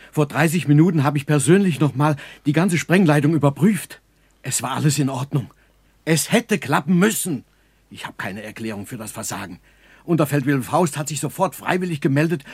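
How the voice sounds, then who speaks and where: A man playing an earnest, dutiful German army engineer captain in a 1960s radio drama, husky and formal in his military reports. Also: on the high side, expressive, normal speed, no echo. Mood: shaken, despairing.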